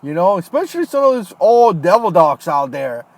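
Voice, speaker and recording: A man in his thirties talks loosely into a home mic, answering viewer comments on a livestream. His voice sits a bit high for a man. He peppers his talk with fillers and casual asides like "man" and "dude.". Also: big pitch swings, normal speed, no echo. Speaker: livestream host, retired Marine. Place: home, Florida.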